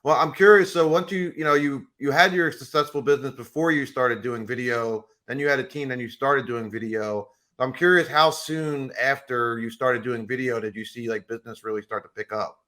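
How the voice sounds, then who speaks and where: Man, mid-pitched, friendly agreeable interview host. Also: steady tone, fairly quick, few pauses, fairly bright tone. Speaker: podcast host. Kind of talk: casual interview chat.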